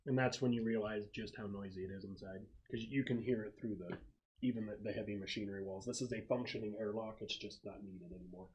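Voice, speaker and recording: A man acting as game master, casually narrating scenes and explaining rules in a halting, think-aloud way. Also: fairly low pitch, steady tone, darkish tone, low-quality recording.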